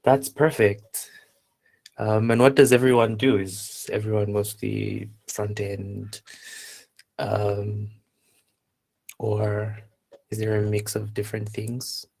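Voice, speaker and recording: A man from Zambia hosting a live online workshop, chatting casually and haltingly as he reads out attendees' replies. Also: on the low side, completely flat delivery, vocal fry, darkish tone. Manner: unhurried, halting, reading out chat replies. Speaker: workshop instructor and host.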